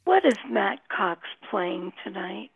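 A woman chatting casually in a creaky, husky voice over a thin phone-like line. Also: mid-range voice, big pitch swings, slow. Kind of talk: casual chat.

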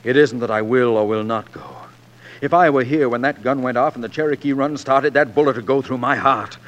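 A man's voice: mid-range voice, brisk, natural voice. An actor playing a restless, grandiloquent frontier newspaperman and husband in the florid manner of 1940s radio drama. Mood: solemn, determined. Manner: theatrical, coaxing, florid. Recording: old 1940s radio recording.

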